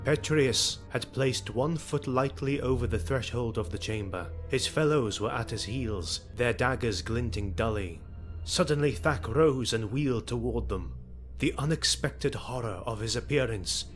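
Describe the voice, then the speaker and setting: Male dramatic narrator over prominent music. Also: medium pitch. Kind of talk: scripted dramatic story narration.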